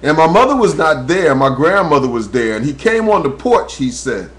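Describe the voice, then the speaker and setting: A man's voice: fairly high pitch, very animated, moderate pace, a bit bright. Earnest man on a webcam. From New Jersey, USA. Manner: earnest, preacherly, rising when telling his story. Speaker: talk radio host. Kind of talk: webcam monologue. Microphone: webcam microphone.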